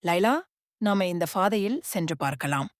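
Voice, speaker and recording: A woman's voice: on the low side, lively intonation, measured pace, dry recording, studio quality. A woman voicing a playful, friendly orange male cat in a cartoon, speaking eagerly.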